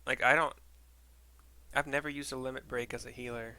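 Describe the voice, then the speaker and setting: A man's voice: mid-range voice, level tone, sharp tone. Casual male game streamer over noisy gameplay. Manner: informal, descriptive, halting as events unfold. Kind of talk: casual play-by-play describing cutscene visuals. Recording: clear game noise behind voice.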